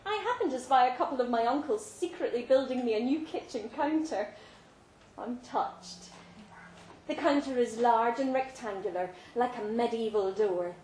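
A woman reading a literary story aloud to a live event, theatrical and deliberate, with dramatic pauses. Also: fairly high pitch, darkish tone. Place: indoor live reading in a pub venue. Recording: roomy live venue, faint background noise.

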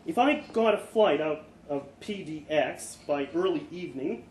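Male live storyteller, slightly high voice, expressive delivery, roomy venue acoustic with faint noise. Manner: expressive, acts out dialogue. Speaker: reader of a short story. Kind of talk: dramatic live short story reading. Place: live literary event in an indoor venue. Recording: echoey room, live event, background noise.

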